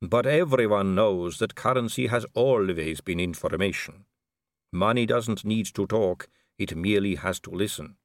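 A man voicing an aristocratic lady vampire in an audiobook, smooth, measured, knowing and condescending. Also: lowish voice, no echo, studio quality, natural voice. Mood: probing, superior. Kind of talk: audiobook character dialogue.

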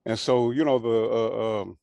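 A man in his sixties from Hawaii, raised in Southern California, telling his life story as a guest in a relaxed way, full of fillers. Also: mid-range voice, vocal fry, no echo. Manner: relaxed, unhurried, many fillers and "you know". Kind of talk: casual interview storytelling.

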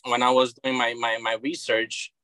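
Brazilian-accented man, thirties, interview guest, forceful bright tone, dry close sound, stumbling restarts. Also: medium pitch, flat delivery, moderate pace, no echo. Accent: Brazilian Portuguese. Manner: hesitant, many repeated words and restarts. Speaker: guest, comic book creator. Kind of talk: casual interview chat.

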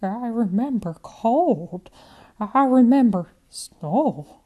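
Woman voicing sweet, lost elderly grandma; slow, dreamy delivery, faint foreign lilt, lifted pitch. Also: very animated, a little mellow, muffled recording, full character voice. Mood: reminiscing. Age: older. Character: frail, wandering old grandmother, gentle and confused. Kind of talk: storybook character voice.

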